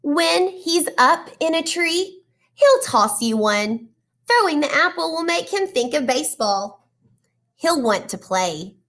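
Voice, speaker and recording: Female storybook reader for young children: high-pitched, unhurried, expressive teacher delivery. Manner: addresses children directly with questions and praise. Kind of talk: children's storybook read-aloud.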